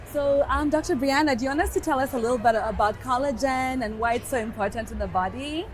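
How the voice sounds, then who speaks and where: A woman's voice: high voice, fast talker, rough recording. A woman from Kenya with a Kenyan accent, an upbeat dermatologist hosting a podcast recorded live at a conference. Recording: live event with background noise.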